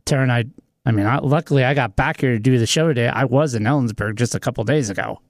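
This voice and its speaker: A man's voice: mid-range voice, fast, no room sound, clean studio sound. A man co-hosting a radio chat show, telling family news in an easygoing way. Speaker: radio co-host.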